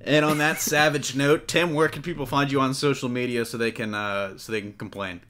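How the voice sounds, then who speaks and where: A man's voice: medium pitch, steady tone, fairly quick, bright, forceful tone. A man hosting a casual panel podcast about movies, talking in a jokey, loose way with some swearing. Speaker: podcast host. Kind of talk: casual comic-book movie panel chat.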